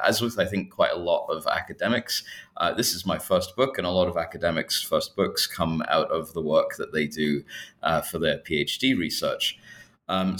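A man from Scotland in his thirties, a historian speaking as an interview guest, with many pauses and fillers. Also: low voice, fairly bright tone. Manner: hesitant, frequent fillers. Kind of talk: academic interview.

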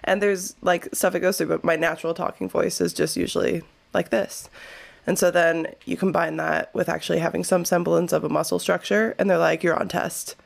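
A woman with a gravelly, fry-laden voice, chatting casually and candidly as a podcast co-host, with crude joking and animated storytelling. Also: on the low side, fairly quick. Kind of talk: casual comedic podcast chat.

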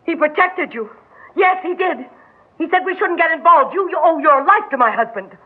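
A woman's voice: very high voice, expressive, normal speed, narrow, tinny audio. An actress playing a frightened, anxious married woman, pleading and defensive, in a vintage radio drama. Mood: fearful, defensive. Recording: band-limited old radio broadcast recording.

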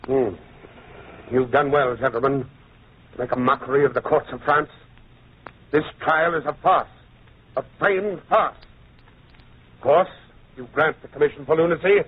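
Righteous indignant judge in a radio play, bit high and husky, tinny old sound. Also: male voice, normal speed, pauses often. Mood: grave, scornful. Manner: earnest, oratorical. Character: indignant, principled French judge turned defence barrister. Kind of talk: old-time radio drama acting.

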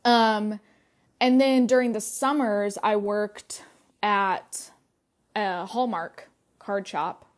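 A young woman hosts her own podcast in a relaxed solo monologue. Her voice sits at a middle pitch for a woman. She rambles in a friendly way and fills her pauses with "like" and "um". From United States. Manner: chatty, many fillers and "like". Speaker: podcast host. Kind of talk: casual personal monologue.

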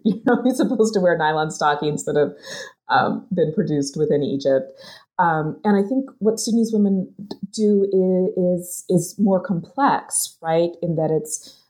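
A woman's voice: deep voice, a little mellow. A woman author discussing her research in a thoughtful, hesitant way, with many fillers and restarts. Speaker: author being interviewed. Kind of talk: academic conversation.

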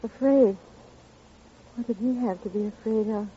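An actress playing a frail, unhinged spinster with a brittle, evasive, menacing manner in a vintage radio drama. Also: female voice, medium pitch, moderate pace, natural voice. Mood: uneasy, evasive. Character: frail, unhinged spinster who killed her sister, defensive, eerie. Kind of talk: 1940s-50s radio drama acting. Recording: dull old radio recording, faint noise.

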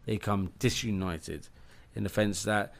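A man's voice: on the low side, fairly even intonation, unhurried, vocal fry. A man talking earnestly as a guest in a casual group chat, with many restarts. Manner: earnest, talks through tangents with restarts. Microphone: live audio room.

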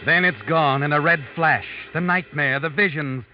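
Actor as ominous old-time radio mystery narrator. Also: male voice, fairly high pitch, normal speed, lightly acted. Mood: tense. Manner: theatrical, ominous, addresses the character as you. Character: the Whistler, ominous all-knowing man who narrates dark tales. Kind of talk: 1940s radio suspense narration. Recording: dull old radio recording with music.

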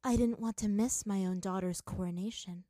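A woman's voice: mid-range voice, steady tone, moderate pace, no echo, studio quality, lightly acted. Woman acting mournful, tender ghostly mother. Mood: sad, tender. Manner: mournful, soft cooing. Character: sad, gentle mother, a mournful tender vision.

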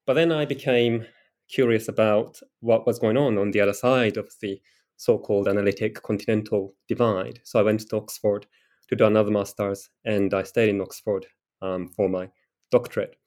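A middle-aged man from Japan, a political theorist answering interview questions in a careful, measured way. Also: lowish voice, steady tone, a little mellow. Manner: careful, measured explanation with occasional fillers.

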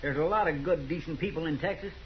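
A man's voice: a little high, quick pace, slight background noise, close to an everyday voice. An actor playing an earnest, folksy Texan sidekick to a lawman in a 1950s radio Western, with a rural American drawl. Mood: indignant. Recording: muffled old radio recording.

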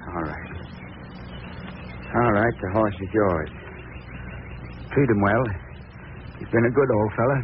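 A man playing a kindly, troubled American farmer father, speaking gently and haltingly in an old-time radio drama. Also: fairly low pitch, animated. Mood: resigned. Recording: tinny, hissy old radio broadcast.

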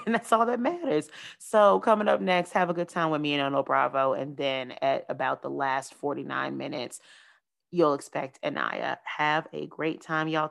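Woman podcast host, low gravelly voice, playful and animated. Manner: animated, playful, says "y'all" and "honey". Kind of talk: casual chat.

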